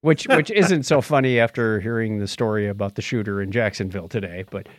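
A man's voice: on the low side, a little fast, no room sound, studio quality. A man around sixty co-hosting a podcast, giving casual reviews in conversation. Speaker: podcast co-host.

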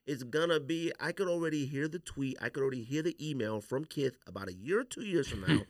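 Animated man debating on a podcast. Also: mid-range voice, quick pace, no room sound. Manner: animated, opinionated, slangy. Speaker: podcast host. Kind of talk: casual sneaker podcast debate.

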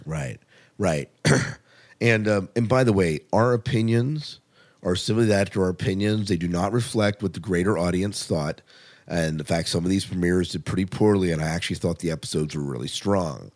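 A man's voice: sits low, moderate pace, darkish tone. A man hosting a podcast, chatting casually about television in an informal, rambling, opinionated way. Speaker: podcast host. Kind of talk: casual TV review chat.

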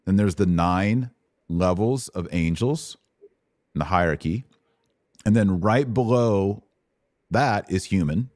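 A man's voice: deep voice, slow pace, long pauses, a little mellow, dry recording, studio quality. A man hosting an informal Bible question-and-answer podcast, explaining things in colloquial language with emphatic repetition. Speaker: podcast host.

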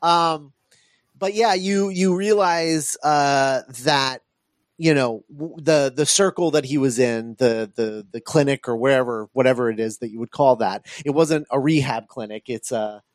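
A man co-hosting a film podcast in casual chat, thinking aloud in a halting way. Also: medium pitch, fairly even intonation, no echo, clean studio sound. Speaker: podcast co-host.

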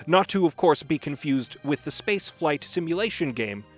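A man giving a mock-dramatic, emphatic reading of an encyclopedic article, swinging between hushed and booming. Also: expressive, moderate pace, soft background music. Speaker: podcast host.

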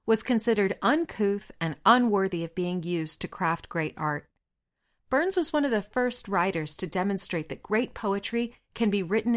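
A woman hosting her own podcast, reading a scripted segment in an enthusiastic, lightly humorous storytelling manner. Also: medium pitch. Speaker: podcast host.

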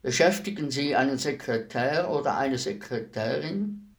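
An actor playing a polite, businesslike state executioner in a German radio play, formal and matter-of-fact. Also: male voice, medium pitch, lively intonation, normal speed, lightly acted. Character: polite state executioner, businesslike, unruffled, deferential hired killer.

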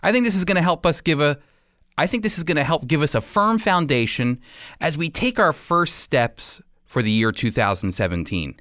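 A man with an American accent hosting a radio program, teaching the Bible in an emphatic, deliberate way. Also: fairly high pitch, expressive, fairly bright tone, no echo, studio quality.

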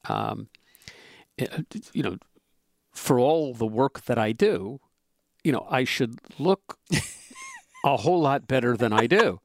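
A man in his seventies talking in a measured, hesitant way with many fillers, as a podcast co-host in casual conversation. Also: mid-range voice, wildly swooping pitch, creaky, no echo, clean studio sound. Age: 70s.

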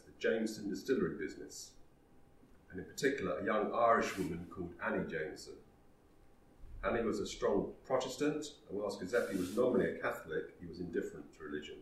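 A man giving a historical talk, telling the story in a measured way. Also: sits low, a little hiss or hum. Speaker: lecturer. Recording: roomy echo, distant mic.

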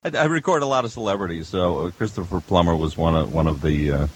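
A man with a deep voice tells anecdotes in a casual, conversational way as a veteran audio producer sitting on a panel. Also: fast, soft background music.

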